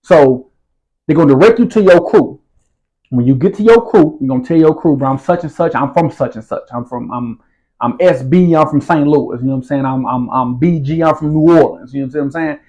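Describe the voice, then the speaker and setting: Man, higher-pitched voice, street slang, casual interview storytelling. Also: brisk, warm tone, lo-fi sound. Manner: streetwise slang, repeats "you feel what I'm saying". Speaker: interview guest.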